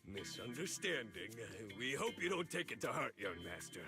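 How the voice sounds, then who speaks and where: A man's voice: mid-range voice, big pitch swings, normal speed, sharp tone, soft background music. A man voicing a sheepish working-class film extra in a game, flustered and deferential. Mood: sheepish, apologetic.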